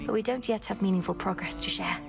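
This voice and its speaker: An actress playing an earnest, determined young goddess in a game, speaking in a composed, formal way. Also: female voice, mid-range voice, fast talker, gravelly, natural voice. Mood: respectful. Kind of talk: scripted game acting. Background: music.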